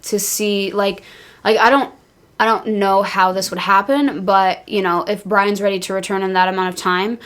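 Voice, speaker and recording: A woman with a medium-pitched voice co-hosts a podcast. She talks in a casual, conversational way, as part of a group discussion. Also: steady tone, normal speed, a bit bright, clean tone. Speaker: podcast co-host.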